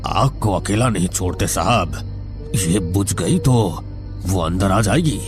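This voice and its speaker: Man playing an ominous old caretaker, swooping low voice. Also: lively intonation, slow, music in the background. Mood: grave warning. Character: ominous, superstitious old male villa caretaker. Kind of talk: dramatised Hindi horror audio story.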